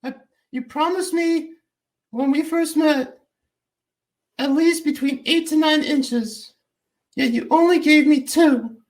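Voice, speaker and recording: A man's voice: very high-pitched, takes it slowly, pauses often. A man playing a scorned, heartbroken princess wife in a comedy sketch, stammering and melodramatic. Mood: pleading. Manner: wounded melodramatic pleading, stammering.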